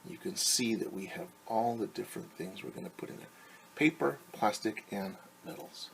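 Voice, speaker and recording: A man's voice: lowish voice, moderate pace, vocal fry, a little hiss or hum, rough recording. A middle-aged man, a teacher, reading a picture book aloud to children and explaining things simply. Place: small room at home.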